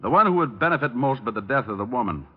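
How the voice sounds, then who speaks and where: An actor playing a suave, wisecracking private detective in a 1950s radio drama, in a wry, unflappable manner. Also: male voice, medium pitch, animated, breathy edge. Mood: dry, calm. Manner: wry, quick-witted, unflappable. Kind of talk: 1950s radio drama acting, hard-boiled narration. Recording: muffled vintage radio recording with music.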